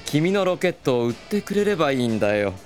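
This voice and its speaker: An actor playing a smugly courteous, wealthy collector in a comic audio drama, patronising in a smooth, polite way. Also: male voice, on the high side, big pitch swings, fast talker. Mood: condescending. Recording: music clearly behind the voice.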